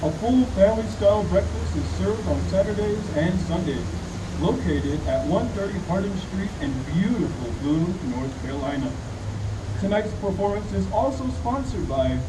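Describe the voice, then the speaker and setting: A man announcing sponsors in a crisp, old-style radio manner, his voice slightly high. He sounds far off and echoey on an outdoor stage under wind.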